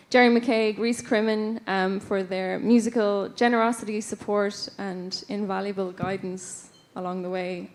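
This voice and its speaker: A woman from the west of Ireland with an Irish accent, giving an award acceptance speech on stage at a stage microphone, hesitant, with many fillers and restarts. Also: mid-range voice. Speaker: award winner, folk musician. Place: stage of a ballroom.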